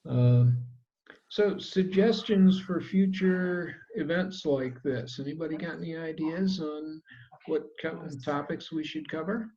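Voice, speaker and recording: Man, slightly higher voice, easygoing host on a video call, dull lo-fi audio. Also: measured pace. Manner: relaxed, chatty, many fillers. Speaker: meeting host. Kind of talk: casual meeting wrap-up.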